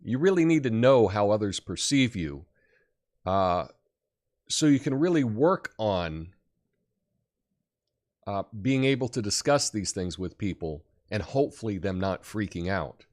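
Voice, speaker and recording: Male show host, deep and loud voice, lively pitch, casual advice monologue. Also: a little slow, long pauses. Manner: loud, emphatic, swoops up high in acted examples.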